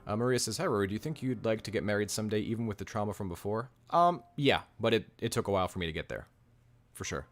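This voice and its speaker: Young male coach, deep low voice, blunt and repetitive, casual live-stream advice, faint music under it. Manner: blunt, direct advice, repeats key points.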